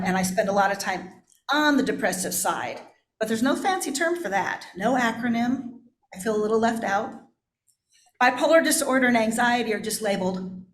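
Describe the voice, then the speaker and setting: A woman pastor giving a personal, confessional sermon in a candid, self-deprecating way. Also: a little high. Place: church, indoors. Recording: echoey room.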